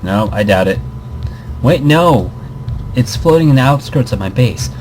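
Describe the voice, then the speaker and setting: A man's voice: mid-range voice, lively intonation, measured pace, a little mellow, a little hiss or hum. A man hosting a casual let's play, reading visual novel text aloud with commentary and often stumbling and repeating words. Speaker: gaming video host.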